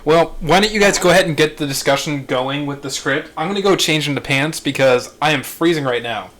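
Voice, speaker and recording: A man's voice: fairly high pitch, brisk, a bit bright. A man chatting casually and jokingly with a group. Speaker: participant in an online script table read.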